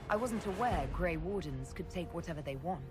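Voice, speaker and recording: Young female commander actress, low voice, composed and direct, fantasy game lead, music underneath. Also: expressive, brisk, close to an everyday voice. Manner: formal, direct. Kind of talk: video game dialogue.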